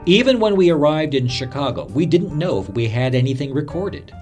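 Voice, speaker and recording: A man's voice: on the high side, very animated, brisk, a little mellow, soft background music. A man playing a radio newsman who earnestly recalls his career in a dramatised first-person recollection.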